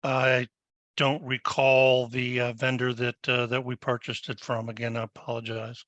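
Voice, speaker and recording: A man on a webinar panel answering audience questions over a video call, measured and a little apologetic. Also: medium pitch, completely flat delivery, a bit bright, no room sound, lo-fi sound.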